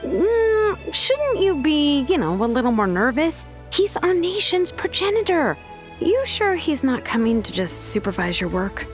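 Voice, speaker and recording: A cheeky young woman in a game, with a high, very lively voice that leaps up and down. She banters and is quick to protest. Faint game music sits behind her. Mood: teasing.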